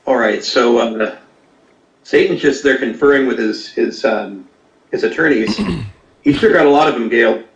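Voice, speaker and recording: A man hosting a casual comedy chat, rambling with deadpan crude jokes. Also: mid-range voice, normal speed, highs cut off. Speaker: host. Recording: echoey room, off-mic, faint noise.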